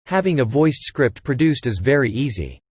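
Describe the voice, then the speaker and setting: Male American promo narrator, synthetic AI voice, mid pitch, very dry recording. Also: big pitch swings, normal speed, a little mellow, slightly rough, dry recording. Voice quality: synthetic text-to-speech voice. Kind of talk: scripted promotional dialogue.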